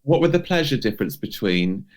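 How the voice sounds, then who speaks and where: A man's voice: fairly low pitch, very animated, fairly quick. A man co-hosting a podcast, chatting casually, reacting and asking questions. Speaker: podcast co-host.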